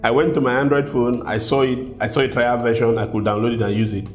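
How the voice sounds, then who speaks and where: A man's voice: mid-range voice, little pitch movement, quick pace. A man from Nigeria with a Nigerian accent, talking casually to his viewers as a tech vlogger and sometimes repeating words while he thinks. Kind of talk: casual talk to camera. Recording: echoey room with soft guitar music behind.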